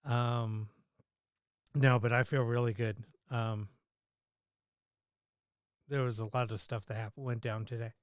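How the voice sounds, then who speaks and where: Slow, halting man, slightly hoarse. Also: medium pitch, flat delivery, dry recording, clean studio sound. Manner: halting, many fillers and restarts. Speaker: paranormal livestream host. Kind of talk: casual live-stream chat.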